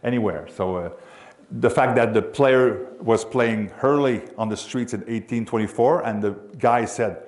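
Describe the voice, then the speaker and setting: A man with a Quebec French accent, a local sports announcer giving an informal history talk in English, pausing often with fillers as he searches for words. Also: medium pitch, darkish tone. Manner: halting, many fillers and restarts while searching for English words.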